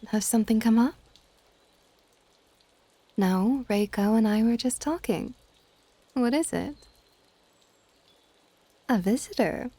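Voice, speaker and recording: A woman playing an older dragon goddess in an ASMR roleplay, soft-spoken and formal, stately and gently exasperated. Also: medium pitch, dry recording. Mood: puzzled. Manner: soft-spoken, breathy asides, formal wording.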